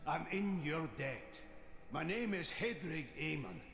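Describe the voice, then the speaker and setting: Troubled village blacksmith for fantasy game: creaky, emotional, plain-spoken, over clear music. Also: male voice, fairly high pitch, lively intonation, unhurried, a bit bright, exaggerated voice. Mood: earnest. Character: troubled, earnest village blacksmith, grieving husband. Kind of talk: fantasy video game voice acting. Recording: music behind the voice.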